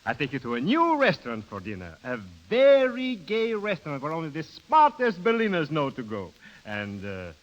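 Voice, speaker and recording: A jovial, suave European gentleman in a 1930s radio drama. He is effusive and eager, with a high voice that leaps dramatically up and down. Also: huge pitch swings, normal speed, few pauses, highs cut off. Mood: jovial, inviting.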